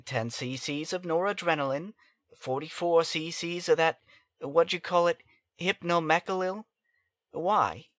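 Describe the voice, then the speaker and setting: A man's voice: a little high, unhurried, a bit bright, no echo, clean studio sound. A man playing a nervous, defensive young doctor in a dramatic audiobook, hesitant and protesting. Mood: defensive, puzzled.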